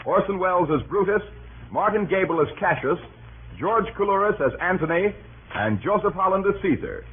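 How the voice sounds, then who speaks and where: A man announcing in the formal, declamatory style of 1930s radio. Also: sits high. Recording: muffled, noisy 1930s radio broadcast.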